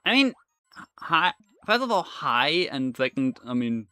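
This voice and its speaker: A man's voice: fairly high pitch, big pitch swings, measured pace, pushed and bright, smooth, no echo, soft background music. A young man whose first language is German, speaking English with a German accent while he streams and chats casually through a game, rambling with many fillers and restarts. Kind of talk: live gameplay commentary.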